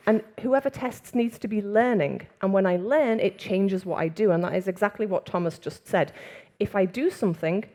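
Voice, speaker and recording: A woman from England with a Liverpool accent, giving a conference talk in a chatty way, speeding up when excited and laughing often. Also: mid-range voice. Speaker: conference speaker. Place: conference room with audience.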